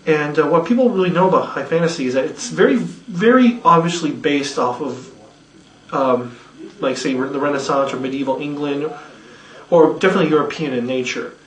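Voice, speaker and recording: A man's voice: a little high, animated, fairly quick. A man, the creator of a radio play, chatting casually behind the scenes, rambling and self-deprecating with many fillers. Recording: echoey room, distant mic.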